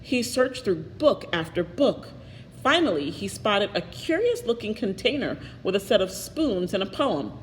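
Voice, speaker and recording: A woman's voice: mid-range voice, very animated, moderate pace. A woman reading a picture book aloud expressively, with little asides to her listeners. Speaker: storybook reader. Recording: echoey reverberant room, faint noise.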